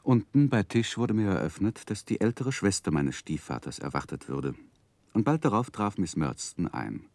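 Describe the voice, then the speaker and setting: An actor playing a grown man who looks back on his childhood, narrating a 1960s German radio drama in a measured, reflective way. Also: on the low side, very animated, a little mellow, no echo.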